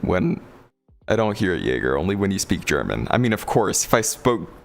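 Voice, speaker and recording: A young man from the talks in a deep, gravelly voice, his pitch swinging widely as he rambles through viewer comments. He laughs easily and fills gaps with ums and likes. Faint music plays behind him. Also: very animated, moderate pace. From Georgia, USA. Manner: laughs often, rambling with fillers. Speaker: VRChat streamer. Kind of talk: casual livestream chat reading comments.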